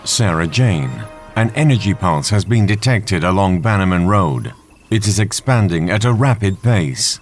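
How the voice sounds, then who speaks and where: A man voicing a calm, formal supercomputer with measured, impassive delivery in an audio drama. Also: low voice, faint music behind. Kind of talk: fan audio drama acting.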